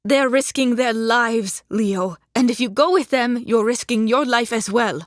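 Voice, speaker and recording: An actress as a high, frantic, pleading woman. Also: unhurried, dry recording, clean studio sound, lightly acted. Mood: panicked, urgent. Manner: urgent, emotional, insistent. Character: frantic, pleading woman, anxious protective guardian of a colleague. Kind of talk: audiobook character acting, dramatic.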